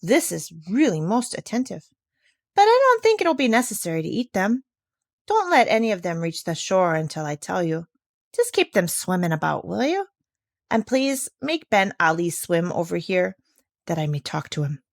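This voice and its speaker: A woman plays a kind male doctor from a children's storybook, gentle and well-mannered. He speaks softly and politely, with an old-fashioned courtesy. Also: on the low side, very animated, normal speed, vocal fry, dry recording, studio quality, heavily acted. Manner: mild, polite.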